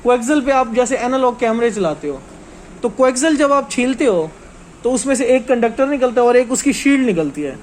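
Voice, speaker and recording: A man's voice: very high-pitched, lively intonation, a little fast, darkish tone, a little hiss or hum. A man giving an informal hands-on technical lesson as a trainer, explaining step by step and often checking that listeners follow.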